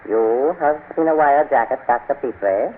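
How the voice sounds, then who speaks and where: An actor playing a gloating, cultured criminal mastermind with slow, mock-courteous menace in an old radio serial. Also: male voice, medium pitch, close to an everyday voice. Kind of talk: 1930s-40s radio serial acting. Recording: tinny, noisy old radio broadcast.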